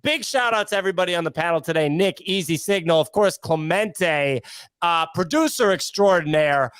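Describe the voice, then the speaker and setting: Emphatic, higher-pitched male podcast host. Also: normal speed, fairly bright tone, clean tone, dry recording. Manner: emphatic radio-announcer delivery. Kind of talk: lively live show hosting and banter.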